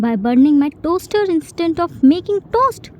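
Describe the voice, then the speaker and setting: A woman's voice: high-pitched, a little slow, mellow, slight background noise. A woman voicing a dramatic young man who scolds and teases sarcastically about his kitchen. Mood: scolding.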